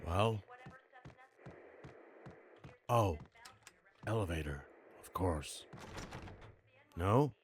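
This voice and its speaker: A man's voice: sits low, lively intonation, very slow, long pauses, slight background noise. A man practicing noir-style narration and musing to himself in a dry manner while playing a game. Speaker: video creator practicing a character voice.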